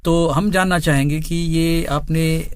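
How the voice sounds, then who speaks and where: A man doing a radio studio interview, putting his questions hesitantly with pauses. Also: on the high side, fairly even intonation, smooth, dry recording.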